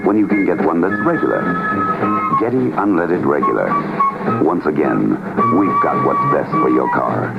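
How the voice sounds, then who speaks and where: A man's voice: deep voice, wildly swooping pitch, moderate pace, vocal fry, loud music behind the voice. A man reading a 1970s radio advertisement in a persuasive, explanatory way. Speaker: commercial announcer. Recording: tinny vintage radio recording.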